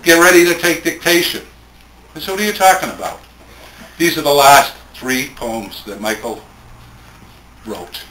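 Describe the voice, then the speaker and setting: A man speaking at a live poetry tribute in a plainspoken, measured way, between informal introduction and reading. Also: a little high, expressive, fairly bright tone. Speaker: speaker reading a late friend's poems at a tribute. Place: indoor room at a live poetry event. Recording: roomy, echoing event recording with faint noise.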